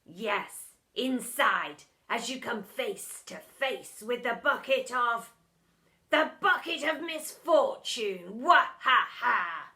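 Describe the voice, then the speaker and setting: A woman's voice: fairly high pitch, lively intonation, slow pace, sharp tone, full character voice. Woman acting out a menacing, villainous male science teacher. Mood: gloating. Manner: menacing, theatrical, barking.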